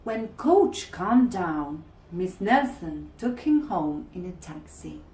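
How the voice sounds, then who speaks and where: Slow, expressive woman reading in an echoey room. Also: on the low side. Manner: expressive, dramatic, deliberate. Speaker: storybook reader. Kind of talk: read-aloud children's story narration.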